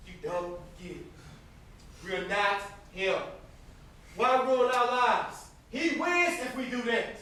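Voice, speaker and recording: A man's voice: very high-pitched, slow pace, pushed and bright, exaggerated voice. A young man acting an anguished, conscience-stricken brother in a stage play, earnest and pleading. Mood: exasperated, pleading. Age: young adult. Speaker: student stage actor. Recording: distant, very echoey, noisy stage recording.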